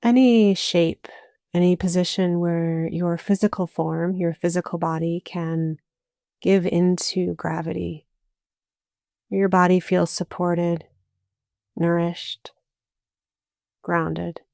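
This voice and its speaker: A woman guiding a meditation as a yoga nidra teacher, speaking calmly and soothingly in short phrases with long pauses. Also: on the low side, vocal fry, dry recording, studio quality. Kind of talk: guided meditation, slow spoken instruction.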